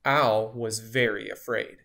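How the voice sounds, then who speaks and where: A storyteller reading a children's picture book aloud in an expressive style. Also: medium pitch, slow, creaky.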